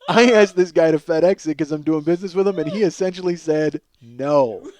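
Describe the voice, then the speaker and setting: A man's voice: on the high side, fairly quick, crowd noise. A man in his forties hosting a comedy podcast, telling a rambling, self-deprecating story with profanity and acted-out dialogue. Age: 40s. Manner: rambling, self-deprecating, acts out both sides of conversations. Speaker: podcast host.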